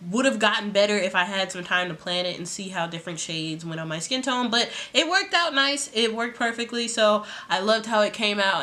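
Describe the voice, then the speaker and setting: A young woman vlogger with a raspy voice and a sore throat, chatting casually through a makeup tutorial. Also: medium pitch. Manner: chatty, rambling, relaxed. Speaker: beauty vlogger.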